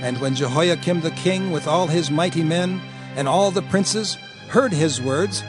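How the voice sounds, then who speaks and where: A grave elder acted by a man declaims in a fairly high male voice. Clear music accompanies the dramatized scripture reading. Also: measured pace, natural voice. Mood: grave, cautioning. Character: grave male elder recalling past prophecies.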